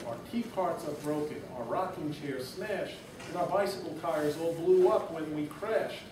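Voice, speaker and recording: Tiny male mayor as a man reads him, soft, polite, far from the mic, background noise. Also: fairly high pitch, unhurried, echoey room, close to an everyday voice. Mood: pleading. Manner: hushed, polite and grateful.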